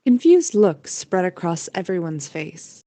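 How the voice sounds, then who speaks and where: A woman's voice: fairly low pitch, sing-song, a little slow, mellow, no echo. A woman narrating a scripted audio drama as its storyteller.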